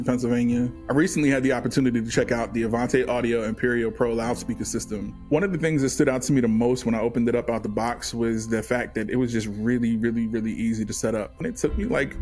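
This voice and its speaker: A man's voice: medium pitch, darkish tone. A man with an American accent giving a casual, unscripted testimonial as an audio consultant reviewing gear.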